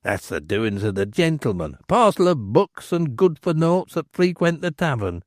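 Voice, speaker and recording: A sly innkeeper who flatters and teases, his voice lifted a little and lively, as a male reader puts him on. He talks smoothly and playfully. The recording is dry and close. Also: fairly high pitch, moderate pace, dry recording, pitched above the performer's own voice. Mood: flattering. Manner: smooth-talking, teasing.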